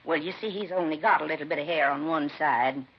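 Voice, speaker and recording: A woman's voice: low-pitched, fast, breathy edge. An actress playing a gossipy, blunt rooming-house landlady, chatty and folksy, in a 1950s radio crime drama. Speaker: landlady questioned by police. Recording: muffled, hissy vintage radio recording.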